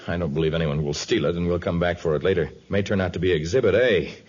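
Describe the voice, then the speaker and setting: An actor playing a brave, earnest jungle hero in a 1950s radio adventure serial, plain-spoken and steady. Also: male voice, sits low, quick pace, slightly rough. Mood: urgent, questioning. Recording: muffled 1950s radio recording.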